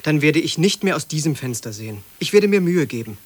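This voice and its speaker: An actor in a 1950s radio play, playing a blunt young tenant who is unbothered and mildly ironic, answering in a terse, matter-of-fact way. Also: male voice, fairly high pitch, lively intonation, fairly quick. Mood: mildly embarrassed.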